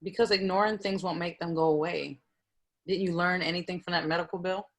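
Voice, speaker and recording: A fairly low-pitched female voice for a guarded, straight-talking professional played by a woman at a table read. She is casual and candid, the caring friend who says it plainly. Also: steady tone, brisk, lightly acted. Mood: frank, concerned. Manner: casual, frank, conversational.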